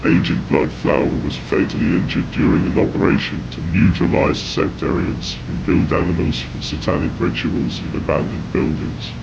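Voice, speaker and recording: Very gravelly, low-voiced male horror narrator. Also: normal speed, hardly any pauses. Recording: dull, noisy lo-fi audio with rumble.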